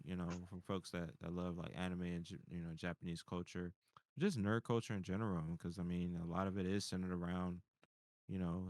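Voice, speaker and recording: A man's voice: deep voice, dry recording, clean studio sound. A man chatting casually, swearing now and then and often repeating himself as he finds his phrasing. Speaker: panelist on a nerd culture show. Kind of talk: casual chat.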